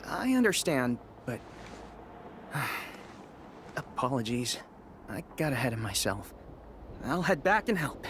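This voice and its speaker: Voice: medium pitch, sing-song, slow, pauses often, a bit bright, slight background noise, full character voice. An actor voicing a subdued, apologetic young survivor in a video game, hesitant and given to sighing. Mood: chastened. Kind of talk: scripted video game dialogue.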